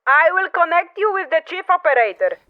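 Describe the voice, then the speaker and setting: Radio play: woman as formulaic, polite female operator, high-pitched, thin dry telephone sound. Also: sing-song, fairly quick, no room sound. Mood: polite, unflappable. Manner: formal, patient, formulaic. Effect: telephone filter. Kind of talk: old-time radio drama acting. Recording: tinny narrow telephone line.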